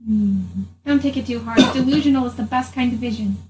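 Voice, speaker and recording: A woman playing a serene, curious visionary in a tabletop game, measured, courteous and gently formal. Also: on the high side, lightly acted. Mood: curious, calm. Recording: echoey room, distant mic, faint noise.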